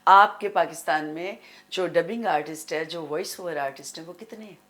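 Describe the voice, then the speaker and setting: A woman's voice: low voice, a little fast, a bit bright. A middle-aged Pakistani woman talking emphatically as a guest in a casual talk show conversation, repeating phrases to drive her point home. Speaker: guest, dubbing and voice-over artist.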